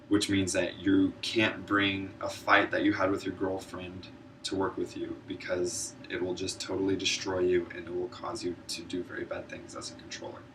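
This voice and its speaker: A man's voice: low-pitched, fairly bright tone. A man delivering a prepared informative speech in an earnest presenter's manner, stumbling now and then over his words. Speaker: student public speaker, military air traffic controller. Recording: distant mic, noisy room ambience.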